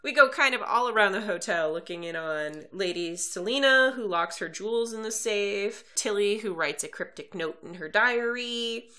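Bright-voiced woman co-hosting a podcast. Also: mid-range voice, moderate pace, few pauses. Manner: animated, laughing, recaps plot with restarts. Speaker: podcast co-host. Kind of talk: casual book discussion chat.

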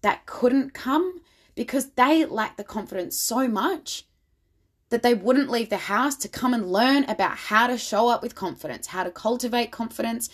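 A woman hosts her own podcast, her voice slightly raspy and worn from days of networking. She talks in a keen, motivational way, blunt and direct, at a middle pitch. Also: fairly bright tone. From Australia. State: slightly raspy voice from much talking. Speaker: podcast host. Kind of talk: solo podcast monologue.

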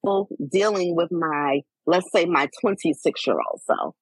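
A woman in her forties, talking candidly in a casual chat, reflective and animated as she tells personal stories. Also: lowish voice, measured pace, husky, no room sound, rough recording. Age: 40s. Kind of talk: casual heartfelt conversation.

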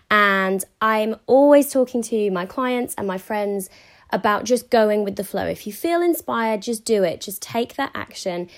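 A woman hosting her own podcast, talking in a chatty, upbeat way with a hoarse, croaky voice from a sore throat. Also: mid-range voice. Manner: chatty and upbeat, with frequent fillers. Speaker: podcast host and mindset coach. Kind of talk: casual solo podcast monologue.

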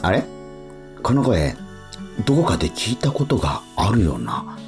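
A man's voice: fairly low pitch, wildly swooping pitch, moderate pace, creaky, over music, heavily acted. An actor playing an awkward, bewildered male musician in a radio drama, hesitant and prone to stammering. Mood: reflective. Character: awkward, bewildered young male street musician narrating his story. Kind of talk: radio drama acting and narration.

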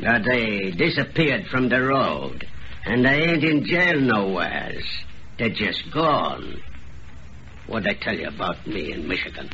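A drunk american hobo murderer, slangy n cruel, sharp voice, old muffled radio drama. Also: male voice, on the low side, very animated, slow pace, exaggerated voice. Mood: suspicious. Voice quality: rough uneducated slangy diction. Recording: dull, noisy old radio recording.

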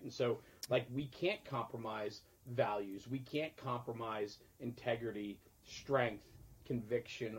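A man with a husky, unpolished voice of average pitch hosts a conversational podcast. He speaks emphatically, building points through repetition and checking in with short tag questions. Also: low-quality recording. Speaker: podcast host in the fire service.

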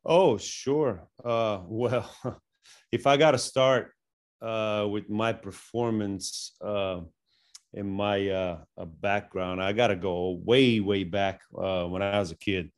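A middle-aged man with a Bulgarian accent, a strength coach telling his story in a casual interview, full of fillers and restarts. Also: lowish voice. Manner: halting, many fillers and restarts. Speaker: strength and conditioning coach, guest.

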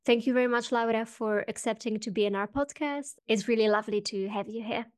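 A woman hosts a podcast, closing an interview and introducing the next guest. Her voice is smooth and clear. She speaks politely and hesitantly, with many fillers. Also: a little high, fairly even intonation, a little fast. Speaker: podcast host.

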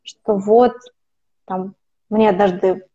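A woman's voice: mid-range voice, steady tone, takes it slowly. A woman in her twenties, a podcast guest talking casually and rambling, with frequent restarts and self-corrections. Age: 20s. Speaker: podcast guest, opera student. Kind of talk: casual conversational interview. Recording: dull lo-fi recording.

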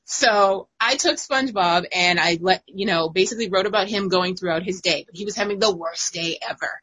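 A woman in her thirties tells stories in a casual chat, laughing often and bouncing between thoughts. Her voice is bright and forward, with a husky, slightly breathy edge. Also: medium pitch, fairly quick, poor audio. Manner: giggly, animated storyteller. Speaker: guest writer.

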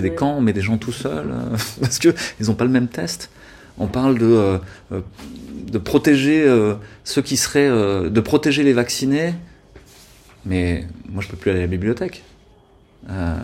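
A man's voice: on the low side, a little mellow, husky. A man speaking as an interview guest, arguing earnestly with frequent hesitations. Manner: earnest, argumentative, many hesitations. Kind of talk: conversational interview.

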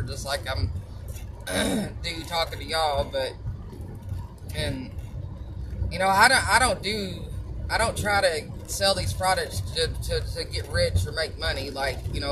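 A woman with a loud, strong voice chatting in a blunt, outspoken way, halting often with fillers and long pauses as she promotes supplements. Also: deep voice, background noise. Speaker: vlogger selling supplements. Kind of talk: casual chatty monologue.

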